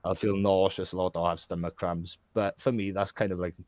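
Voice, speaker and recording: A man's voice: sits low, fairly even intonation, brisk, a little mellow, no room sound. A man from Wales with a Welsh accent, a guest talking candidly in casual conversation, rambling and often asking "do you know what I mean".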